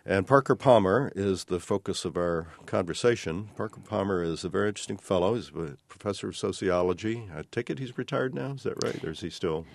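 A man with a low voice and an American accent hosts an interview. He speaks in measured, formal phrases, like someone introducing a book to listeners. The sound is dry, with little room to it. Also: vocal fry, no echo. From Texas, United States. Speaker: interviewer and host. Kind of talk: unhurried radio-style interview.

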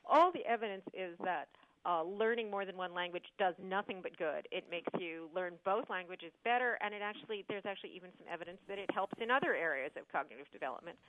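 A woman's voice: lowish voice, quick pace, no room sound. A woman scientist answering callers on a radio call-in show, earnest, with many fillers and restarts. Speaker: scientist guest. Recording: tinny phone-like radio line.

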